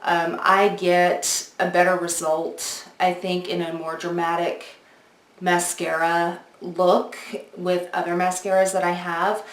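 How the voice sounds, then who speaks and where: A woman in her forties with a fairly low voice hosts a casual beauty review. She talks conversationally and explains things straight to the viewer. The recording has a clearly roomy, echoing sound. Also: little pitch movement, takes it slowly. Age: 40s. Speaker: beauty video host. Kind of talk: casual product review and demo. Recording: echoey untreated room.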